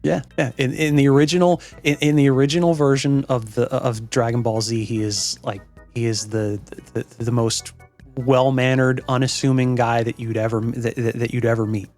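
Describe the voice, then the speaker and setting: A man of medium pitch hosts a casual reaction show. He chats in a halting way, often doubling back on his words. Faint music plays behind him. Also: fairly even intonation, a little mellow. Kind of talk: casual chat.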